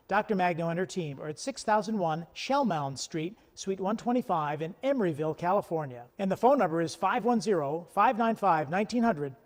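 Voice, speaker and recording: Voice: mid-range voice, darkish tone, big-room sound. An interview host leading a promotional chat, repeating words and reading out contact details.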